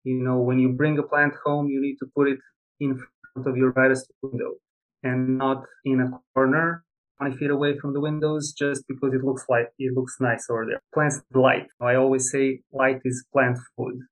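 A man's voice: medium pitch, level tone, normal speed, rough recording. A young adult man from Serbia, a guest on a podcast, explaining houseplant care in a friendly, explanatory way with light laughs. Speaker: plant expert guest. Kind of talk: casual interview talk.

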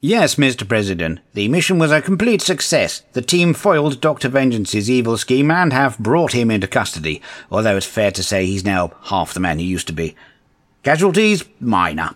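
A man playing a brisk, diplomatic government official in a comic audio drama, formal and composed. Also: mid-range voice, sing-song, natural voice. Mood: composed, reassuring. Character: brisk, smooth-talking male government agency official.

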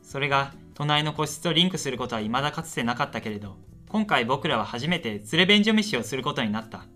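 A man's voice: medium pitch, lively intonation, fairly quick, sharp tone, faint music behind. A man playing a shy, lonely university student in a radio drama, earnest and chatty in both dialogue and narration.

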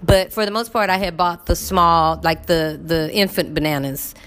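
A woman's voice: fairly low pitch, fairly even intonation, normal speed, fairly bright tone. A woman in her fifties chatting casually to her viewers, rambling with lots of fillers while getting over a cough. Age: 50s. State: recovering from illness, cough. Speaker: vlogger.

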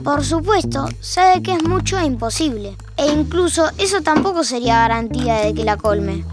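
An Argentine actress playing the wry, all-knowing narrator of a scripted domestic audio drama. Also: female voice, sits high, animated, moderate pace. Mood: wry, observant. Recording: music bed behind a dry studio voice.

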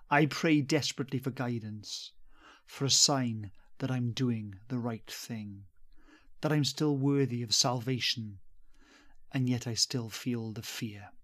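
Slow, solemn male horror story reader. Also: medium pitch. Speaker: fiction reader. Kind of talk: scripted first-person horror narration.

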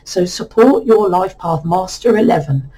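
Croaky-voiced woman giving a numerology reading. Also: on the low side, measured pace, mellow, poor audio. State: voice croaky from a cold spell. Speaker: numerology reader. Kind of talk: explanatory numerology reading monologue.

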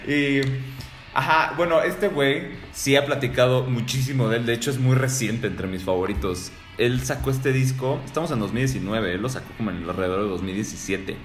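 A man's voice: mid-range voice, fairly quick. Young Venezuelan man in echoey room. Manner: enthusiastic, slangy, interjects often. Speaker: podcast co-host. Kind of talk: casual chat about music albums. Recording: echoey room with faint music.

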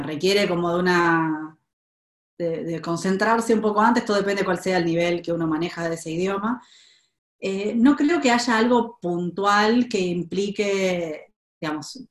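A woman's voice: lowish voice, roomy sound. A woman with a Rioplatense Spanish accent giving career advice in a live Q&A, thoughtful, with many hesitations and restarts. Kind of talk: live Q&A webinar talk.

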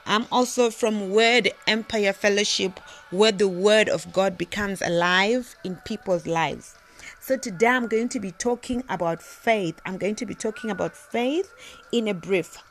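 A woman's voice: medium pitch, unhurried, slight background noise. A woman preaching a sermon, emphatic and repetitive, often restarting her sentences.

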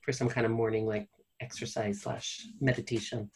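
A woman's voice: a bass voice, flat delivery, normal speed, darkish tone, a little hiss or hum. Easygoing woman, medical director, chatty and upbeat, casual conversation. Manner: chatty, encouraging, laughs easily, playful. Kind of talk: casual chat.

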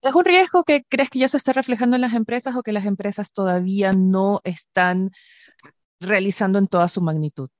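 A woman's voice: medium pitch, fairly quick, no echo. A woman from Ecuador hosting an interview, asking questions with reflective, personal asides. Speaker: host.

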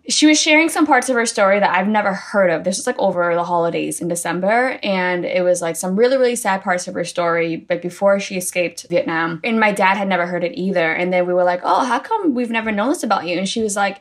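A woman's voice: mid-range voice, a little fast, few pauses. Earnest woman in her late thirties. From Boston, United States. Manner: earnest, reflective personal storytelling. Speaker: guest. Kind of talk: casual interview conversation.

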